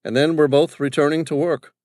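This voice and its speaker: A man's voice: on the high side, fairly even intonation, a little fast, darkish tone, no echo, voice pushed up, natural voice. A man voicing a grown son who talks back to his father in a wry, blunt way, close to his own voice.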